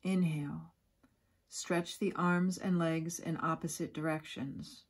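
A woman guiding a yoga nidra relaxation in a soft, breathy, soothing voice, giving gentle instructions with long pauses. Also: sits low, fairly even intonation. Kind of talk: guided relaxation meditation.

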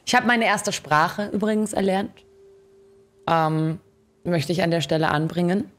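A woman's voice: lowish voice, steady tone, measured pace. A young woman streaming a game, chatting casually in colloquial language with youthful slang. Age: young adult. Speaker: gaming streamer. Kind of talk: casual gameplay commentary and chat. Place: indoor streaming setup. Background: game music.

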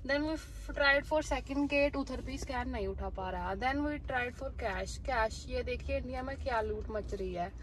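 A high-pitched woman with an Indian accent talks in a chatty, playful way, like a casual travel vlogger. Clear car and road noise sits around her voice. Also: takes it slowly, few pauses. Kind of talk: casual vlog chat mixing Hindi and English. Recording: noisy car and road sounds.

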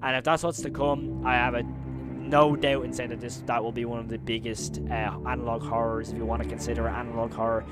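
A man's voice: medium pitch, level tone, fast talker, over loud music. A young adult man reacting to a video in a casual, enthusiastic, slangy way, talking close into a microphone in a bedroom studio. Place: small bedroom studio, indoors. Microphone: close boom-arm microphone.

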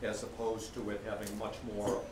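Low-voiced man speaking from far off. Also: completely flat delivery, normal speed. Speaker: attendee reporting for his table. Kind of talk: casual discussion. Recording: distant, roomy, noisy.

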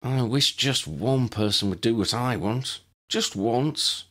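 A man's voice: medium pitch, slow, fairly bright tone, pitched above the performer's own voice. A man voicing an eager, curious, slightly sulky young schoolgirl in an audiobook. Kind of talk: audiobook character voice.

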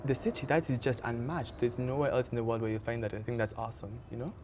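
A man's voice: mid-range voice, fairly even intonation, quick pace, a little mellow. A young man from Kenya talks in an upbeat, chatty way, answering questions for a singing contest. He sits in an outdoor courtyard garden with a lapel mic, and a faint hum of ambience sits behind him. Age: young adult. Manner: upbeat, chatty, laughs easily. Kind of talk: casual interview answers.

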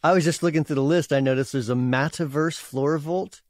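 A man co-hosting a casual podcast, wry and quick with jokes. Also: a little high, darkish tone, dry recording, clean studio sound. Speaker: podcast co-host. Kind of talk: casual chat.

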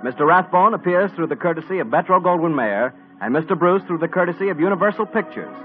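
A young male radio announcer with an upbeat, smooth 1940s commercial delivery. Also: on the high side, expressive, fast, soft background music. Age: young adult. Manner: upbeat, smooth salesman patter. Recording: tinny old radio broadcast.

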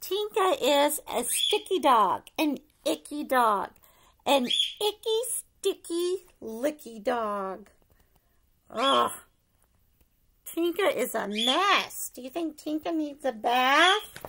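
High, lively woman reading a picture book. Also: slow pace. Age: middle-aged. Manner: sing-song, expressive, asks the children questions. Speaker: preschool story-time teacher reading aloud. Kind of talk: animated picture-book read-aloud for young children. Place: living room at home, indoors.

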